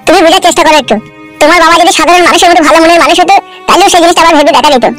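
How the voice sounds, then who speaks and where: A man's voice: extremely high pitch, racing, fairly bright tone, soft background music. A man voicing a timid, naive, protesting married simpleton who frightens easily, in a comedy cartoon. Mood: fearful protest. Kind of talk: amateur cartoon comedy dubbing.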